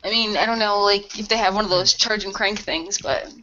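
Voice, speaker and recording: A woman's voice: mid-range voice, a little fast, pushed and bright, highs cut off, a little hiss or hum. A woman improvising as a role-play player, with a casual, slangy, sarcastic way of talking. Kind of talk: improvised in-character dialogue, casual.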